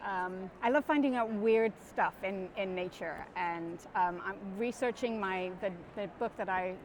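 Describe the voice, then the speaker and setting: A middle-aged woman born in Guyana, an author chatting as a guest in an interview, talking in quick bursts and correcting herself as she goes. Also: medium pitch, a little hiss or hum. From born in Guyana, raised abroad. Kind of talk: casual interview chat. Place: parked van.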